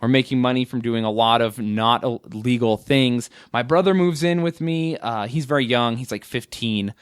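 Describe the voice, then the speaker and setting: A man's voice: medium pitch, normal speed, clean tone, no echo, clean studio sound. Candid rambling man in his twenties. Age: 20s. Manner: candid, rambling, self-mocking. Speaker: guest comedian. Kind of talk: casual interview storytelling.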